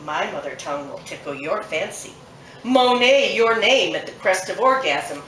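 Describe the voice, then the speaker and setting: A woman's voice: medium pitch, lively intonation, moderate pace, fairly bright tone. A woman poet performing her poem live at a bookshop event, with a rhythmic, emphatic delivery that builds through long lists. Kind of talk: live performance poetry reading. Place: bookshop at a poetry festival event. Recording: echoey room, mic set far off.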